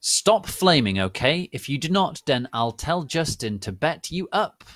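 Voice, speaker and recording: Man as a shrill, petulant teenage girl author. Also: very animated, no echo. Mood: defiant. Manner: shouty, defensive, drawn-out words.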